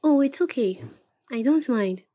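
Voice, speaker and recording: A woman acting a sharp-tongued young woman in love in an audio play, with a Ghanaian accent. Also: sits high, animated, unhurried, dry recording, studio quality. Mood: playful. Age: young adult. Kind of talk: dramatic dialogue in an audio play.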